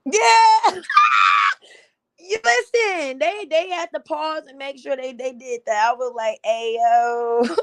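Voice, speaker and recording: A woman with a slightly raspy voice hosting a casual livestream, giving blunt, animated commentary with frequent laughs. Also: sits high, takes it slowly, shouting. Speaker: livestream host.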